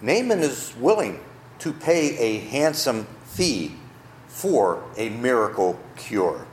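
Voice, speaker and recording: A man's voice: mid-range voice, very animated, slow, echoey room, a little hiss or hum. A male pastor preaching a sermon, telling the story with rhetorical questions, in a church.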